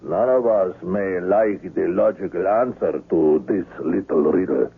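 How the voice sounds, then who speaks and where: Husky, courteous underworld host. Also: male voice, low-pitched, full character voice. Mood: brisk, suspicious. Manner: formal, courteous, measured speech. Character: suave, wary, courteous older male underworld host named Messina. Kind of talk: 1970s radio drama acting. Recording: muffled, tinny vintage radio recording.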